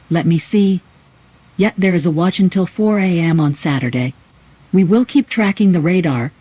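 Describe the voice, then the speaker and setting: A man's voice: sits high, dark tone, dry recording, rough recording. A man playing a local TV weather channel presenter, giving informal, explanatory live coverage commentary.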